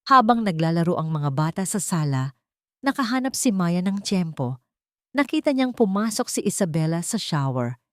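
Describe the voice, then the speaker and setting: A woman's voice: on the low side, big pitch swings, normal speed, dry recording, studio quality. A woman narrating a scripted dramatic story as its storyteller.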